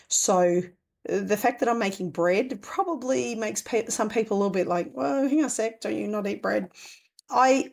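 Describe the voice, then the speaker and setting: A croaky Australian woman chats into a podcast mic. Her voice sits low and gravelly, husky from a recent illness, and she rambles, correcting herself as she goes. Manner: chatty, rambling with self-corrections. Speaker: podcast host. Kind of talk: casual podcast monologue.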